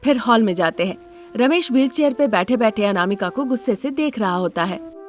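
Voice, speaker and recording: Female narrator for scripted moral tales, higher-pitched voice, light music underneath. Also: animated, fairly quick.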